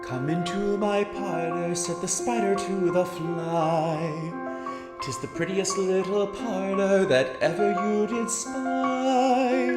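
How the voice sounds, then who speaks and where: A man's voice: high-pitched, takes it slowly. A man performing a sinister, brooding storyteller in a musical, reciting and singing of temptation and doom. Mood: sinister, luring. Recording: echoey long reverb, loud music.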